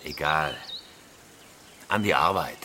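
An actor playing a cold, commanding professional robber with a curt, terse manner in a German radio crime drama. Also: male voice, extremely low pitch, slow pace, bright, forceful tone, slight background noise, full character voice. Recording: music behind the voice.

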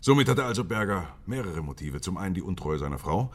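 A man playing a dogged, principled police chief inspector in a German radio drama, speaking in a measured, factual and insistent way. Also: low voice, big pitch swings, exaggerated voice.

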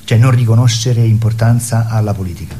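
A man arguing his opinions in an impassioned, polemical conversation, with halting, repetitive phrasing. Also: fairly low pitch, mellow, slight background noise.

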